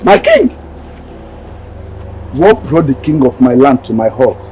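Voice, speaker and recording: Deferential chief, wildly swooping pitch. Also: male voice, wildly swooping pitch, unhurried, dark tone, low-quality recording. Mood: surprised, apologetic. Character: deferential village chief, nervously excusing himself to king. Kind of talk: melodramatic film acting. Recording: clear background noise.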